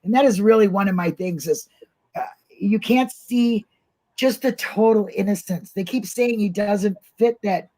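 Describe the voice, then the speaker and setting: A woman's voice: medium pitch, a little slow, mellow, poor audio. A woman hosting an informal online talk, speaking casually in a blunt, emotional way. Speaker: host of an online livestream show.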